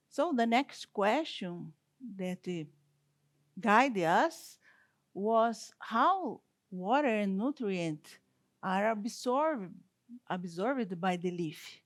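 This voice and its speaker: A woman with a strong Brazilian Portuguese accent gives an academic conference talk in slow, deliberate English, often stopping to restart a phrase. Her voice sits at an ordinary middle pitch and is recorded dry, with little room sound. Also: dry recording. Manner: halting, careful non-native English with restarts. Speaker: university plant scientist.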